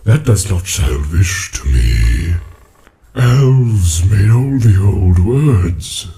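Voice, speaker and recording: An ancient, ponderous tree giant for a fantasy audiobook. The voice is low and very drawn-out, yet it swells and dips widely as it muses warily. A clear bed of ambient sound lies behind it. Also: male voice, big pitch swings, dark tone, full character voice. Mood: measured, wary. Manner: slow, deliberate, drawn-out hums and musing repetitions. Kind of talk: audiobook character acting.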